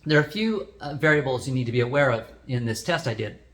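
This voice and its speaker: A man's voice: medium pitch, expressive, quick pace, a bit bright. A man hosting a product review, explaining things in a plain, unscripted way as he demonstrates.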